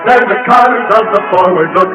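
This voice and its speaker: A woman's voice: medium pitch, normal speed, muffled recording. A woman performing a catchy vintage radio advertising jingle. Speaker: jingle singer. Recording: roomy vintage recording, loud music.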